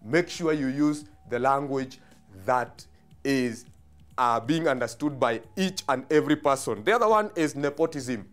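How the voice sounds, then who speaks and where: A man's voice: medium pitch, expressive, measured pace, soft background music. A man with a Kenyan accent teaching a social studies lesson on television, explaining terms step by step and repeating key phrases, with frequent hesitations.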